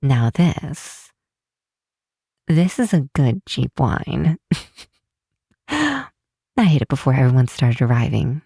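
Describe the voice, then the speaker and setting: A woman playing a caring, teasing young best friend in an ASMR roleplay, speaking gently and hesitantly with soft pauses. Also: very deep voice, big pitch swings, gravelly, husky, dry recording, clean studio sound, full character voice. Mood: playful, fond.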